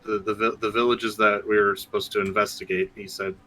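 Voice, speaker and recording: Low-ish guy with a bright edge, polite, stammers a lot. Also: level tone, a little fast. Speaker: tabletop game player. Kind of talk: casual in-character play.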